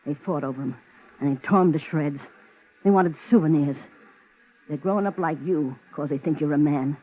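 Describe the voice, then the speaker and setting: A woman's voice: sits low, normal speed. Embittered woman, thin vintage radio sound. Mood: accusing, bitter. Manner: dramatic, wounded, accusing. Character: embittered, anguished woman from a poor neighborhood. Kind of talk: 1950s radio crime drama acting. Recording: thin, phone-like 1950s radio recording.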